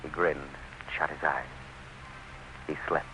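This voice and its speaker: An actor playing a sober commissioner who narrates with grave, measured drama in an old-time radio play. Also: male voice, very deep voice, steady tone. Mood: grave, relieved. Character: sober male commissioner recounting a harrowing rescue. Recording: muffled vintage radio recording. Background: music.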